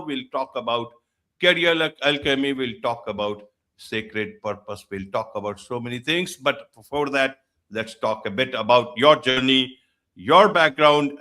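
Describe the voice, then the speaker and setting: A man's voice: medium pitch, bright, forceful tone. A man hosting an online interview show, speaking formally and repeating his welcomes. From India. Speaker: show host.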